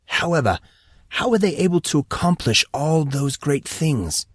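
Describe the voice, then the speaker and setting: A man voicing a polite, thoughtful mentor in an audiobook, speaking in a measured, formal, questioning way. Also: on the high side, animated, husky, no room sound, studio quality, pitched above the performer's own voice. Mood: thoughtful, probing. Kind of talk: audiobook character dialogue.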